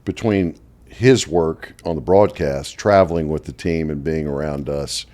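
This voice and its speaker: Very deep-voiced man telling stories. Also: measured pace. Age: 50s. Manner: animated storyteller who laughs often. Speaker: play-by-play announcer. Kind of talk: casual interview chat.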